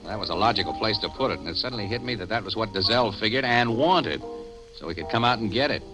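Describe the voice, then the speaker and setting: An American actor playing a quick-witted, wisecracking crime photographer in a 1950s radio drama, with a brisk, slangy delivery. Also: male voice, fairly low pitch, expressive, over music, natural voice, poor audio. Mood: explaining calmly. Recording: old 1950 radio broadcast recording.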